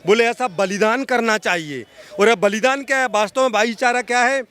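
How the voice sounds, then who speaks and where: A man's voice: very high-pitched, fairly quick, fairly bright tone, clear, resonant tone. A man giving impassioned political opinion in an oratorical, emphatic way, repeating phrases for stress. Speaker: social activist giving street interview.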